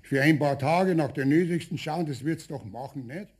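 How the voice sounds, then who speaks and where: A man playing a firm, brisk family doctor in a children's radio play, husky and with a Bavarian accent. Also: a little high.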